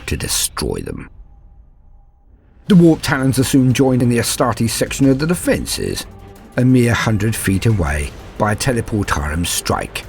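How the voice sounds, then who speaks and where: A man voicing a ruthless, zealous warrior of dark gods who recounts his conquest in a menacing, theatrical and grandiose way. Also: fairly low pitch, vocal fry, exaggerated voice. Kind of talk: dramatic first-person war story narration.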